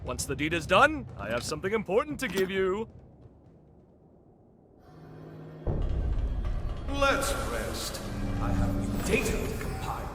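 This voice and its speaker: Casting: vain, theatrical undying scholar in a video game; high voice, very animated delivery, florid and lecturing. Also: male voice, normal speed. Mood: solemn, approving. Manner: pompous, florid, dramatic, lecturing. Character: flamboyant, grandiose male immortal revenant scholar, theatrical and self-important. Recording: game music behind voice. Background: game music.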